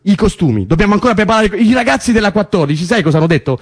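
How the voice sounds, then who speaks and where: A man's voice: high-pitched, expressive, rapid-fire. An actor playing a male political prisoner, teasing, foul-mouthed and tender, in naturalistic stage drama with colloquial, repetitive, insistent speech. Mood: teasing, brisk. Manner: colloquial, insistent, repeats words in bursts.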